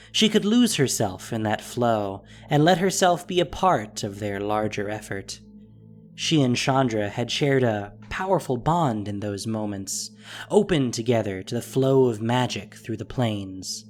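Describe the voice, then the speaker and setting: Audio drama narrator, mid-low voice, deliberate storytelling, scripted, music behind.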